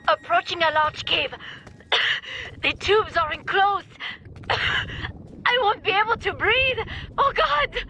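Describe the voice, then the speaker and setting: A woman's voice: very high voice, full character voice. An actress playing a panicked female resistance fighter who is drowning, gasping and coughing over a radio in a video game. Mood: terrified, gasping. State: choking, coughing, gasping for breath. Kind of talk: video game cutscene acting. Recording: noisy radio call.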